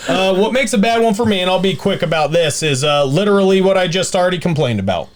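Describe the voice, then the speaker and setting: A man with a slightly high voice and very lively intonation, talking as a podcast host. He is emphatic and blunt, arguing opinions casually among co-hosts and tossing in jokes and mild swearing. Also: quick pace.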